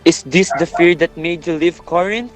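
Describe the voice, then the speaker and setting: A man's voice: fairly high pitch, normal speed, soft background music, lightly acted. A man playing a plain-spoken messenger and former shepherd in a readers' theatre Greek tragedy, declaiming his lines earnestly. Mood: reassuring.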